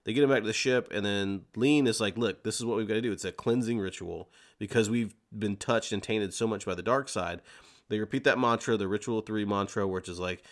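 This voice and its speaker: A man with a fairly low voice co-hosts a casual fan podcast. He explains stories in an animated manner, talking conversationally as he goes. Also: brisk. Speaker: podcast host. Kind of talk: casual fan discussion, retelling a story.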